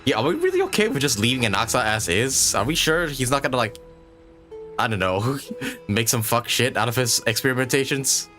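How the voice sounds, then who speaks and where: A man's voice: medium pitch, sing-song, a little fast, sharp tone, faint music behind. A man livestreaming casual gameplay commentary in an irreverent, profane, joking manner.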